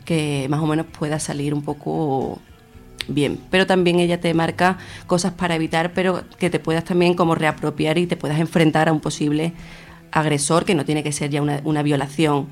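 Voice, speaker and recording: A young woman with a gravelly, creaky voice gives interview answers. Her pitch moves very little, and she builds her thoughts hesitantly as she goes. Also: little pitch movement, normal speed, soft background music. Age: young adult. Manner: hesitant phrasing, searching for words. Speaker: interview guest, feminist activist.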